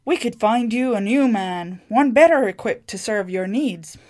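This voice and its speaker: A woman voicing a mischievous, scheming sorceress with a teasing, suggestive manner and a slow delivery. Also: mid-range voice, a little mellow, natural voice.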